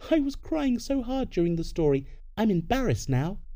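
A woman's voice: medium pitch, very animated, normal speed, warm tone, voice pushed up. A man voicing a shy, tearful, sweet young woman in a narrated story. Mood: embarrassed, timid.

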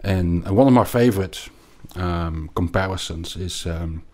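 A man's voice: low voice, unhurried, darkish tone. A middle-aged man from Belgium with a Belgian accent, a finance guest explaining in an interview, often repeating words and restarting. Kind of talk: conversational interview.

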